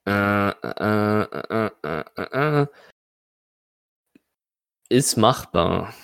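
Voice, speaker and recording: Male game master for tabletop fantasy play, lower register, close dry recording, improvising narration and rulings in a halting way. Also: lively intonation, gravelly, fairly bright tone, smooth, dry recording. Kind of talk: running a tabletop role-playing game, improvised narration and rules talk.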